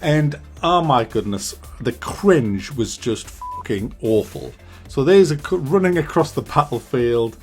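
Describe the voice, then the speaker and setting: A man giving a ranting movie review, animated, sarcastic and full of swearing. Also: mid-range voice.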